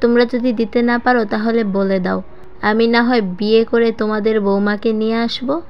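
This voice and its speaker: A woman voicing a brazen, coolly stubborn grown son in a story, with a calm, demanding manner. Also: a little high, moderate pace, clear, resonant tone, close to an everyday voice. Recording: muffled lo-fi voice track with music.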